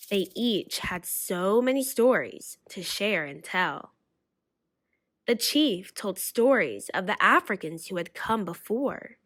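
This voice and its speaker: Animated high-voiced woman reading a storybook. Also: a little hiss or hum, low-quality recording. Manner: rhythmic, dramatic. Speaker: children's book reader. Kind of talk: expressive scripted storybook narration. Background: music.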